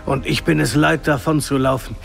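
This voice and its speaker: An actor playing a wounded, determined father with a gravelly voice. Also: medium pitch, steady tone, moderate pace. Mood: resolute. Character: wounded, resolute older father.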